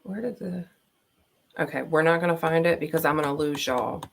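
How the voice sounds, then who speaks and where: Brief: thirties woman, Baltimore accent, lower voice, rambling livestream chat through a laptop mic. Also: normal speed, gravelly, slight background noise. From Maryland, USA. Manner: chatty, rambling with fillers. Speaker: beauty vlogger. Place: home, at her desk with laptop. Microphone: laptop built-in mic.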